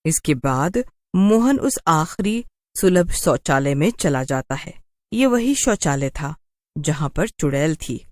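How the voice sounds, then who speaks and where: A woman's voice: sits low, expressive, slow, darkish tone, no room sound, clean studio sound. A woman narrating a scripted cartoon story in a dramatic storytelling style.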